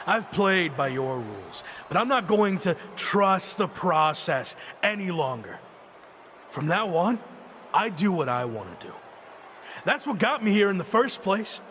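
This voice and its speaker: A forceful, defiant young wrestler. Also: male voice, sits high, slight background noise, full character voice. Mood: defiant, angry. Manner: emphatic, declamatory, confrontational. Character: defiant, aggrieved young male pro wrestler calling out his boss. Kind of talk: wrestling promo in a video game cutscene.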